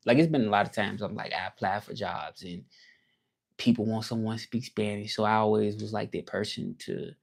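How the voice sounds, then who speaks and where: A man with a Southern accent from Memphis talks on a livestream in a loose, reflective, motivational ramble. His voice sits low and carries a gravelly edge with a warm, mellow tone. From Memphis, Tennessee. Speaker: livestream host. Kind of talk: casual livestream talk.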